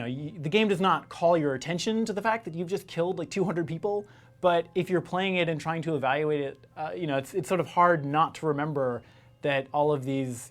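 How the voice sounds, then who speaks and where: Higher-pitched man talking in halting bursts. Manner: halting, talks in bursts with frequent asides. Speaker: video game interviewee. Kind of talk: casual interview talk.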